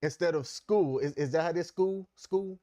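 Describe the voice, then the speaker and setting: An easygoing man co-hosting a live stream, steering the talk. His voice is a bit higher than most men's. The sound is dry and close, with no room echo. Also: moderate pace, mellow. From Maryland, USA. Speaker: co-host. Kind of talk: casual chat on a live stream.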